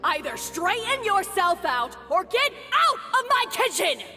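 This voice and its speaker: A woman voicing a bold, commanding young woman in an anime game, with a forceful manner. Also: very high-pitched, sing-song, a little slow, full character voice. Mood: furious, scolding. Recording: slight hall reverb, music behind.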